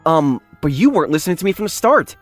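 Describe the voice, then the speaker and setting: A man playing an earnest young factory worker in a manga dub, polite but indignant when wronged, with an expressive, emphatic delivery. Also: fairly high pitch, fast talker, faint music behind. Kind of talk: anime-style manga dub acting with first-person narration.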